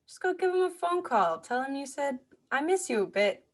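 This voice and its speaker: Female podcast host, fairly high voice, casual conversational interview delivery. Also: very animated, moderate pace.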